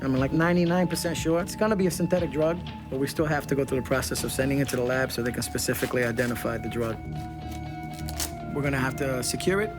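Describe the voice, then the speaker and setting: A customs officer, a man of middle pitch, explains a procedure step by step in a plain, matter-of-fact way. Background music runs under his voice. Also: steady tone, quick pace. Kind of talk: on-the-job explanation.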